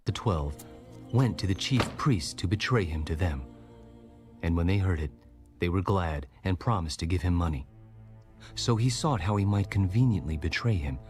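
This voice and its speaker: A man narrating a dramatized audio Bible as a solemn storyteller, formal and measured. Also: low-pitched, expressive, a little mellow. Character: solemn male scripture narrator. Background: clear background music.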